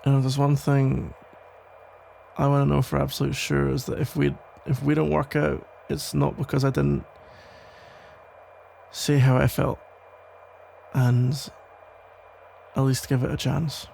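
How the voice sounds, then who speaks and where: Man acting a gravelly, tender protective cop ex-boyfriend. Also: mid-range voice, level tone, a little mellow, a little hiss or hum. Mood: tender, hopeful. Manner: hesitant, reassuring, halting phrases with pauses. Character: protective, tender male police officer ex-boyfriend, relieved and smitten. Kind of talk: intimate ASMR roleplay, soft conversational acting.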